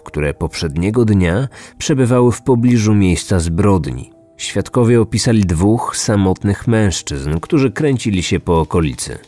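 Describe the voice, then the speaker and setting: A man's voice: fairly low pitch, darkish tone. A man in his thirties reading a scripted true crime podcast narration as its host. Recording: music bed under the voice. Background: background music.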